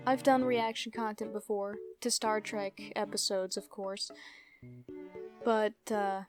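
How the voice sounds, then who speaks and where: A woman in her twenties chatting casually as a YouTuber in a reaction vlog. She laughs often, rambles and pokes fun at herself. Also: mid-range voice. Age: 20s. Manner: giggly, rambling, self-deprecating.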